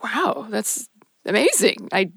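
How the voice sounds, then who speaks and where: A woman's voice: mid-range voice, sing-song, slow pace, creaky, husky, no echo, exaggerated voice. A woman playing a guarded, self-doubting police officer in an audio drama, speaking in terse, subdued replies. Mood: relieved. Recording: loud noise and clicks behind.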